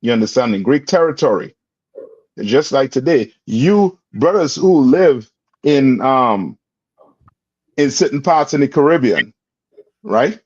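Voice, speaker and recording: A man's voice: mid-range voice, expressive, unhurried, pauses often, no room sound. A man leading a religious class, quizzing his students and prodding them for answers. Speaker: class instructor. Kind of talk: call-and-response religious class. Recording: dull, dry lo-fi recording.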